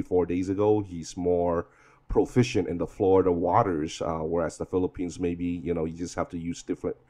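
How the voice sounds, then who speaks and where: A man narrating a vlog off the cuff, rambling and reflective, with halting delivery. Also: deep voice, a little mellow. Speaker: vlog host. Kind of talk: unscripted voice-over narration.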